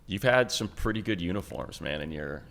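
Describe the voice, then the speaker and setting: Casting: enthusiastic sports podcast co-host, very low-pitched male voice. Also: lively intonation, moderate pace, vocal fry, a bit bright. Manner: upbeat, enthusiastic.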